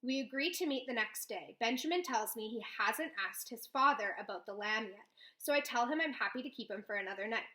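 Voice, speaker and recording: A woman reading a children's novel aloud, with expressive first-person storytelling. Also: fairly high pitch, brisk, few pauses. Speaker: read-aloud host.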